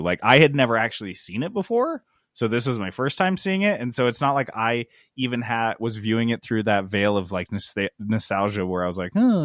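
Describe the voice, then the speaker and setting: A man with a lowish voice co-hosts a casual gaming podcast. He talks in an informal, slangy way. The sound is dry, with very little room to it. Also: fairly bright tone, no echo. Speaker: podcast host.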